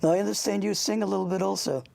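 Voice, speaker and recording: An older interviewer with a gravelly, husky voice, chatting informally and haltingly with a young guest, often rambling into anecdotes. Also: medium pitch, fairly even intonation, a little mellow. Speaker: interviewer, show host.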